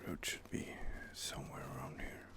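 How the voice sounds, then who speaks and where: A man whispering softly and rambling as he thinks aloud, doing ASMR game commentary close to the microphone. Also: moderate pace. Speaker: streamer playing a roleplay game.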